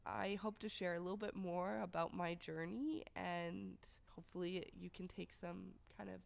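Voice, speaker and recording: A woman co-hosting a casual podcast, her voice raspy from being sick, easygoing and laughing often. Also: fairly low pitch, steady tone, moderate pace. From Canada. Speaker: podcast co-host.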